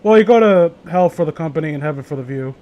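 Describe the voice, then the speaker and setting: A man's voice: a little high, expressive, fast talker, highs cut off. A man hosting a casual read-along with friends, joking in a crude, teasing way. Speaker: host reading horror stories aloud with friends.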